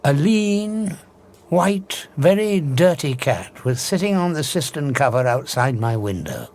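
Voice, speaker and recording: A man's voice: fairly high pitch, very animated, a little slow, darkish tone, a little hiss or hum. An actor playing an obsessive, cold scientist who tells his story with theatrical, savouring relish in an audio drama. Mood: coolly reminiscing. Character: obsessive scientist, invisible man, darkly exultant and cold.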